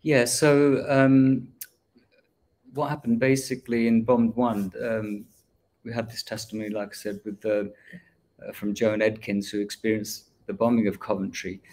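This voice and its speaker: Low, flat-voiced man reflecting hesitantly. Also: moderate pace. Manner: reflective, hesitant, many fillers. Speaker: artist. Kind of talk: livestream discussion.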